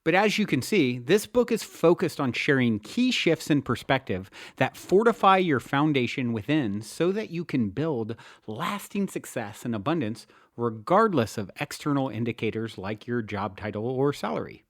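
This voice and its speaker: A man's voice: medium pitch, animated, normal speed, few pauses, no room sound, clean studio sound. A man hosting and narrating spiritual self-help material in an earnest, emphatic way, as an author presenting his own work. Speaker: podcast host and author reading own book. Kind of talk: spiritual self-help audiobook narration with casual podcast introduction.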